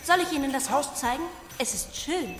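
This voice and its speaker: A woman's voice: high-pitched, expressive, brisk. A woman playing a prim, literal-minded artificial intelligence in a German radio drama, speaking formally and without emotion. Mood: polite, prim. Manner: matter-of-fact, formal, unemotional short sentences. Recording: echoey hall, music behind.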